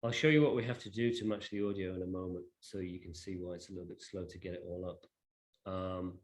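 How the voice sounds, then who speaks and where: A man presenting a software demonstration informally, in a hesitant, off-the-cuff way. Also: low-pitched, fairly even intonation, a little fast. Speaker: presenter demonstrating a digital library.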